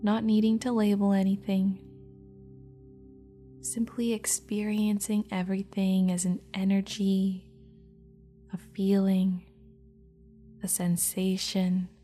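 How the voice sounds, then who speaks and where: Woman, meditation guide, half-whispered, mellow, faint music behind. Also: mid-range voice, level tone. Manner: soft, slow, half-whispered guidance.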